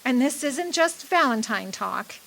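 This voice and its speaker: An actress playing a tender, devoted young sweetheart with a wistful, sentimental delivery in a radio drama. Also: female voice, sits high, expressive, unhurried, gravelly, a bit bright, heavily acted, low-quality recording. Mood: teasing, tender.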